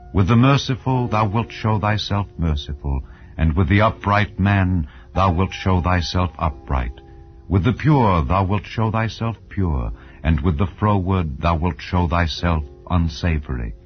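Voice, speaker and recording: Deep-voiced man solemnly reading scripture. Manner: measured, declamatory delivery of archaic English. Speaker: audiobook Bible narrator. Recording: dull, lo-fi audio under music. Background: background music.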